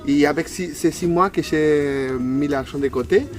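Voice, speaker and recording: A man's voice: a little high, normal speed, soft background music. An Argentine man in his thirties, a native Spanish speaker, talking casually in an interview and searching for words with a Spanish accent. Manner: hesitant, searching for words in a second language. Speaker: interview guest.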